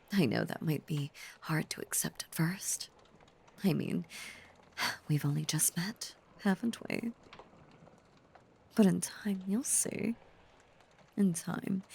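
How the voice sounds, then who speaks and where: A woman voicing a possessive, obsessively loving female werewolf in an intimate, breathy, softly menacing ASMR roleplay. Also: mid-range voice. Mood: tender, possessive. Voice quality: breathy, whispery.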